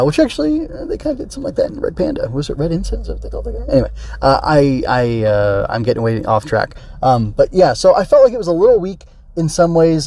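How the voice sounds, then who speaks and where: A man's voice: mid-range voice, dark tone. A man hosting a casual solo review podcast, rambling and thinking aloud with tentative digressions. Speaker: podcast host and film reviewer.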